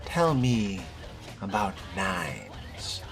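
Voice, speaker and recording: A man voicing a guarded fledgling vampire in a video game role-play, with dry, deliberate, sardonic delivery. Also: lowish voice, expressive, music in the background, exaggerated voice. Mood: measured.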